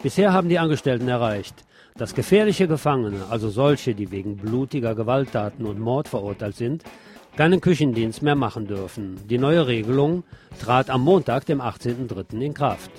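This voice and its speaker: A man's voice: medium pitch, animated, moderate pace, a little mellow, faint music behind. A man presenting radio news, reading scripted reports and adding brief asides of his own.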